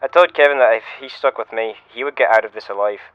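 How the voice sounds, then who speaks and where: A man playing a shaken security officer, giving a measured first-person incident report as a scripted audio log. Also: mid-range voice, natural voice. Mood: tense recounting. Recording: muffled lo-fi radio-style sound, music behind. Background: music.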